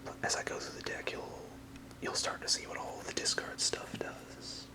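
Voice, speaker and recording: A fully whispered voice in the style of a casual ASMR video, with no voicing in it. The speaker rambles softly and hesitantly through a card showcase, sounding apologetic and unscripted. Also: normal speed. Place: apartment room.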